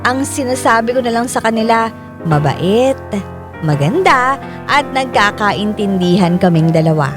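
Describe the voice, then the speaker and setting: A woman's voice: fairly high pitch, lively intonation, measured pace. A woman delivering scripted first-person storytelling as the letter narrator of a radio drama. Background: music.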